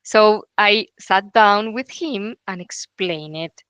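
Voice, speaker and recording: A woman's voice: medium pitch, slow pace, a bit bright, smooth, no room sound, dull audio. A young woman from Colombia with a Colombian Spanish accent, a native Spanish speaker, telling a personal story in a talk, hesitant and full of filler sounds. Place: stage talk.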